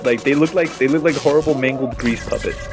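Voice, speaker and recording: Male gaming co-commentator, average pitch, casual jokey chat in stop-start phrases, prominent background music. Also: fairly quick. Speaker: Let's Play co-commentator. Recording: music playing behind the voice. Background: video game music.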